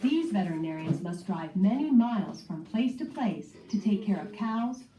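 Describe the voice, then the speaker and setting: A woman's voice: mid-range voice, expressive, normal speed, dark tone, slight background noise. A woman narrator carefully reading a scripted educational text for children. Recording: muffled playback in echoey room, distant.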